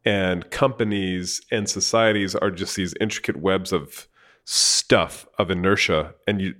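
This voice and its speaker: A low-pitched man in his forties hosts a conversation. His questions wander casually, full of fillers and restarts as he talks the ideas through. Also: fairly even intonation, measured pace, fairly bright tone. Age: 40s. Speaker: podcast host. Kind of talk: conversational interview.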